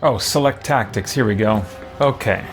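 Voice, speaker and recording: A man's voice: medium pitch, moderate pace, soft background music. A man giving casual, unscripted let's-play commentary, hesitant and thinking aloud to himself as he plays. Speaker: gaming host playing and commenting on a role-playing game.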